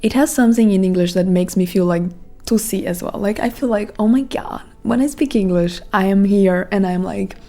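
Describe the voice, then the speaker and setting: A woman with a Brazilian Portuguese accent speaks in a relaxed, mellow tone at a middle pitch. She muses aloud as a podcast host, wandering through her thoughts with plenty of "like" fillers. Her delivery is casual and reflective. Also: moderate pace. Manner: reflective, rambling with many "like" fillers. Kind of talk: casual monologue.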